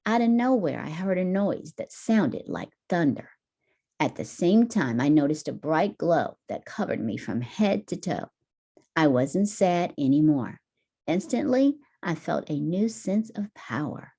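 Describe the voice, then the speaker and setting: Low, mellow woman reading a picture book. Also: big pitch swings, measured pace. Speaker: teacher reading aloud to children. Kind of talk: expressive picture book read-aloud.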